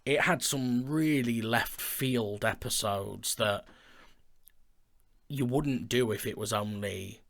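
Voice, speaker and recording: A man's voice: medium pitch, takes it slowly, creaky, a bit bright. A man chatting casually and enthusiastically as an audio drama creator, with a jokey manner. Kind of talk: casual chat.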